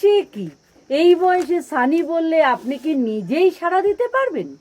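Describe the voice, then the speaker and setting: Casting: female actor as playful older lady for audio romance, high voice, swooping intonation, teasing and articulate. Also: sing-song, unhurried, darkish tone, exaggerated voice. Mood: playfully tender. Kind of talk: audio play dialogue.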